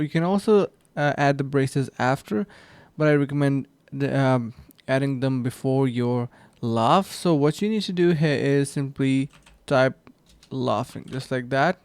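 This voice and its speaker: A man hosting a screen-recorded software tutorial. He explains the steps casually and says "uh" and "um" often. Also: a little high, clean tone, no room sound. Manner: casual, step-by-step, with frequent fillers. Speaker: tutorial host.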